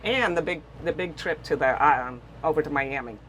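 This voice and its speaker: A woman's voice: low voice, normal speed, fairly bright tone, slight background noise, low-quality recording. An older woman from the Bahamas, chatting casually in an interview aboard a small boat, telling stories with many fillers. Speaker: guest boater.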